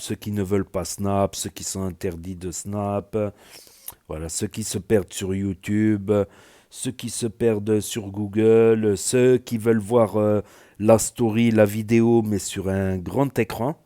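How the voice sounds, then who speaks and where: A man's voice: on the low side, dark tone. A man with a southwestern French accent giving rambling, casual reaction commentary as a video host, full of fillers and restarts. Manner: rambling, filler-heavy, many "voilà" and "euh". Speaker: YouTube news commentator.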